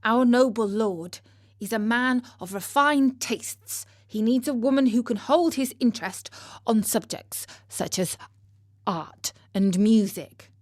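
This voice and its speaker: A woman's voice: fairly high pitch, slow, a little mellow, no echo, studio quality. A woman voicing an opinionated castle cook in a storybook, gossipy and sure of what she knows. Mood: knowing, gossipy. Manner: gossipy, assured. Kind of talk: storybook character voice.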